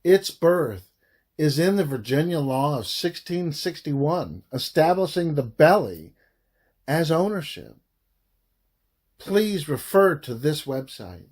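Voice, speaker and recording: A man reading a historical book aloud as its narrator, with deliberate, emphatic delivery in short halting phrases. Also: fairly high pitch, big pitch swings, a little mellow. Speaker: audiobook reader, author of the book.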